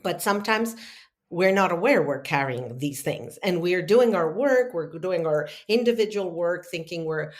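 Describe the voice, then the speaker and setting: A woman speaks as an interview guest, her voice sitting notably low. She talks earnestly and from the heart, working through her ideas aloud with small pauses and restarts. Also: lively intonation. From Argentina. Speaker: podcast guest, healing facilitator. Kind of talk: conversational interview, long explanations.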